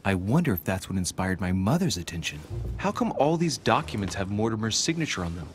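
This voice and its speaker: A man acting an eager, inquisitive young gentleman investigator in a video game cutscene, with an earnest, teasing, expressive delivery. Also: fairly low pitch, fairly quick, a little hiss or hum. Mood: intrigued.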